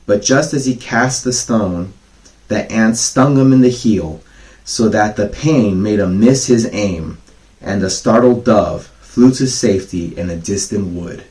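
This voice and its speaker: A man reading children's bedtime fables as a storyteller, with casual, gently instructive asides to the kids. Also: fairly low pitch, unhurried, low-quality recording. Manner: gently didactic, explains each moral.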